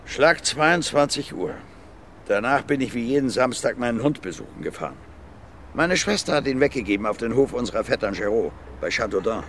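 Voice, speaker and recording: An actor playing a gruff, blunt violent brawler in his fifties under questioning in a radio drama, plain-spoken and repetitive. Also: medium pitch, sing-song, fairly quick, a bit bright, slight background noise. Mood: defensive, earnest. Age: 50s. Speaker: suspect being questioned.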